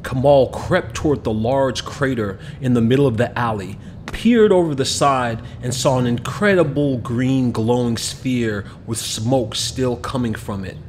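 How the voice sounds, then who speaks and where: A man reading a children's picture book aloud as an expressive scripted narration, with music behind him. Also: medium pitch, a little slow, hardly any pauses, a little hiss or hum. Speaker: storybook narrator. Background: background music.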